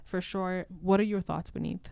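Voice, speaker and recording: A woman's voice: fairly low pitch, fairly even intonation, moderate pace, creaky, darkish tone, no room sound. A woman social worker talking casually on a podcast, often tagging her points with "right?". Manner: conversational, leans on "right?" tags.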